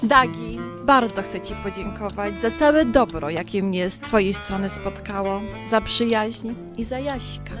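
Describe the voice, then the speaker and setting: An actress playing a heartfelt older bride and grandmother in an audio drama, speaking in an unhurried, emotional way. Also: medium pitch, close to an everyday voice. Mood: grateful, festive. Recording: music behind the voice.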